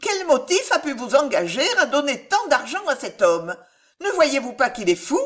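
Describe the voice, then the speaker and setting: A woman's voice: high-pitched, sing-song, normal speed, fairly bright tone, voice pushed up. A woman voicing a worldly married society lady in an audiobook, exclaiming and scolding in disbelief. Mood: incredulous scolding. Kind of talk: audiobook character voice.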